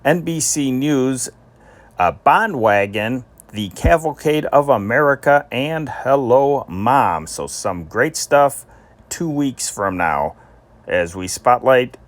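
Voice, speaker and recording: A man's voice: mid-range voice, animated, takes it slowly, no room sound. A man presenting informally as a show host, in a folksy, conversational way. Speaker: host of an old-time radio show.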